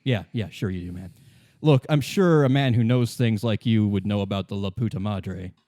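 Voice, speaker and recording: A man's voice: fairly low pitch, moderate pace, mellow, dry recording, studio quality, pitched below the performer's own voice, lightly acted. A man voicing a bad cop in tabletop roleplay, casually insinuating and slyly threatening.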